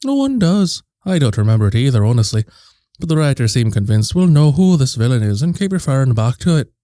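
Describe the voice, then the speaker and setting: Man as a mellow, animated, exasperated co-host. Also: mid-range voice, brisk, no echo, clean studio sound, close to an everyday voice. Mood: mildly exasperated. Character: exasperated, focused male co-host keeping things on track. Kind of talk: scripted casual banter.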